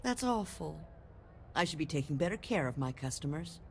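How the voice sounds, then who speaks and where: A woman playing a courteous, weary older general-store keeper in a video game, gently complaining. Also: sits low, sing-song, moderate pace, slight background noise. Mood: weary, apologetic.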